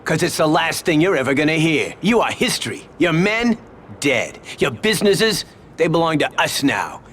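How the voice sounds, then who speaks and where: A man's voice: on the high side, very animated, moderate pace, a bit bright, slight background noise. A man playing a menacing, contemptuous mafia consigliere in a crime game, taunting and dramatic. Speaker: video game character actor. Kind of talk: cinematic crime drama acting.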